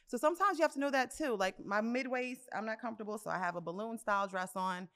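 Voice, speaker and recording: A woman's voice: fairly high pitch, fast talker, a bit bright. A woman in her fifties co-hosting a podcast, chatting casually and animatedly, often laughing. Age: 50s. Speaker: podcast co-host. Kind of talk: casual chat. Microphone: studio mic.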